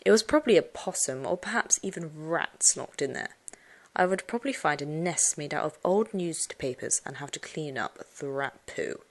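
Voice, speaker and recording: A woman with a low voice narrates a children's story in the first person. Her reading is highly animated and suspenseful, moving between expressive emphasis and hushed tones. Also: moderate pace. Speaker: audiobook narrator.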